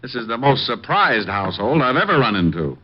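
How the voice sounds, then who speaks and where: A man's voice: medium pitch, sing-song, fairly quick, slight background noise, lightly acted. A man playing a wry, unflappable private detective with casual, dry wit in old-time radio drama. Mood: matter-of-fact. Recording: muffled vintage radio broadcast.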